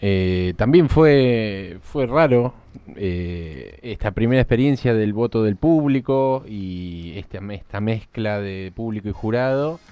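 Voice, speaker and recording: A man's voice: lowish voice, lively intonation, unhurried, mellow, smooth, dry recording. A man speaking casually in a radio interview as a theatre director and teacher.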